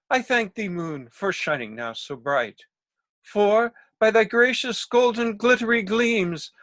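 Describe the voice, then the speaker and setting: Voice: mid-range voice, a bit bright, no room sound. An amateur actor playing a melodramatic lovesick stage hero, declaiming with bombastic grief over a home video call. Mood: grandly grateful. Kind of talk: hammy amateur stage acting.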